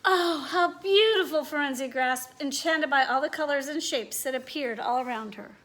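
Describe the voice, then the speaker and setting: Woman doing storytime and chatty demos for kids, high bright voice, asks the kids questions. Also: fairly even intonation, moderate pace. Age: adult. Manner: asks listeners questions, addresses children directly. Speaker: read-aloud host for children. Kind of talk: children's read-aloud and chatty demonstration.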